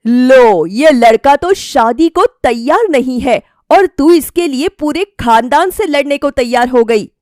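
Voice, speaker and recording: Woman acting a loud, scolding middle-aged mother. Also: sits high, expressive, measured pace, few pauses, dry recording, studio quality. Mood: indignant. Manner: scolding, emphatic, rhetorical questions. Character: stern, scornful middle-aged mother objecting to her daughter's match.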